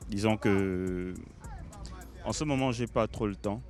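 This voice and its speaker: A man's voice: lowish voice, a little slow, darkish tone, soft background music. A man giving hesitant, indifferent answers in a street poll. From Gabon. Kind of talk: vox pop answer.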